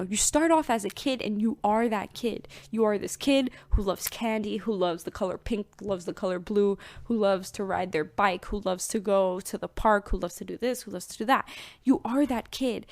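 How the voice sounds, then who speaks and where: A young woman in her twenties talks casually as a podcast host. She rambles in a chatty way with lots of fillers, at a middling pitch, recorded close with a dry sound. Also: no echo. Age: 20s. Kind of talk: casual spiritual talk.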